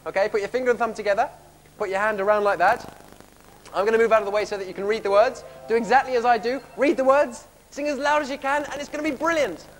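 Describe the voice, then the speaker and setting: A man's voice: extremely high pitch, fairly even intonation, fast, a little hiss or hum. A young man playing a chirpy, eager servant in pantomime, bantering with the audience from a theatre stage. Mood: cheery, coaxing. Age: young adult. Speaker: pantomime comic leading audience singalong. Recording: reverberant theatre hall recording with music.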